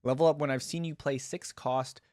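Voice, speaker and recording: A man's voice: medium pitch, fairly even intonation, moderate pace, a little mellow, a little hiss or hum. A man hosting a reaction video, giving casual, chatty commentary and musing aloud.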